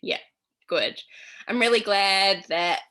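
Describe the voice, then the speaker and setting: A woman's voice: medium pitch, takes it slowly, pushed and bright. A young woman with a slight New Zealand accent teaching a casual tarot class, rambling and chatty with many fillers.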